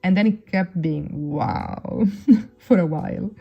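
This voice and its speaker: A woman's voice: on the low side, takes it slowly, creaky, slightly rough, faint music behind. A young woman whose first language is Italian, chatting casually to camera as a perfume reviewer, with many restarts and fillers. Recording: dull lo-fi sound.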